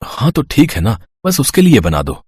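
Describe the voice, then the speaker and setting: A man voicing a gentle, patient young father who explains soothingly in a children's moral story. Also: mid-range voice, wildly swooping pitch, normal speed, breathy edge, dry recording, clean studio sound, full character voice. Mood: reassuring. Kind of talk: animated Hindi moral story dialogue.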